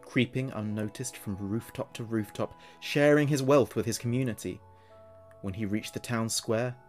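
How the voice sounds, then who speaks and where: A man telling a horror story as narrator, in a dramatic scripted style. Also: on the low side.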